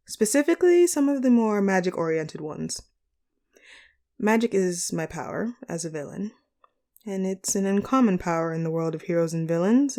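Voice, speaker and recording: Woman playing a mellow, courteous supervillain sorceress boss. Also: medium pitch, natural voice. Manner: courteous, measured, formal managerial phrasing. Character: polite, charismatic female supervillain sorceress boss, caring and composed. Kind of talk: scripted audio roleplay, speaking to the listener.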